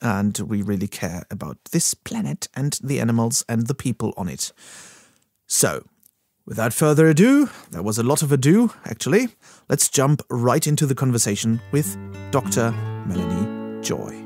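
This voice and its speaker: A man's voice: mid-range voice, big pitch swings, moderate pace, a little mellow, no room sound, studio quality, soft background music. A man whose first language is German, hosting a podcast and introducing an episode in a chatty, self-deprecating, rambling way. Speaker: podcast host.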